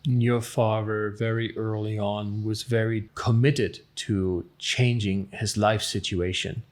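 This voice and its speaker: Unhurried male interviewer. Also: fairly low pitch, fairly even intonation. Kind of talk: interview questions.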